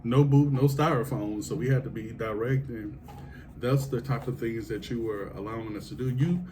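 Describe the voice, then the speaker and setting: Laughing joking man with a deep voice. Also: moderate pace. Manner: laughs often, joking and animated. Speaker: podcast host. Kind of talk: casual interview chat. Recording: noisy background.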